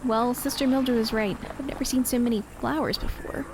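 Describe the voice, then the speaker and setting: A woman playing a brisk, sharp-tongued lead police detective in an audio drama, dry and authoritative. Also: fairly high pitch, steady tone, background noise, lightly acted. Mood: alert.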